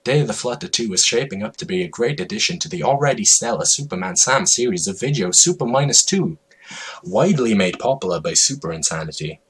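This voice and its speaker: Husky deep-voiced man, theatrical dramatic reader. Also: very animated, brisk. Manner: theatrical, mock-serious, swinging from hushed to shouted. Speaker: reader of a comic fan story. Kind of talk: dramatic reading of absurd fan fiction.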